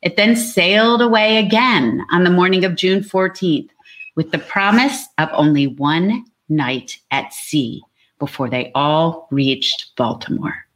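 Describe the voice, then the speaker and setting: A woman's voice: fairly low pitch, animated, measured pace. A woman narrating a dramatic historical story as an amateur scripted reading.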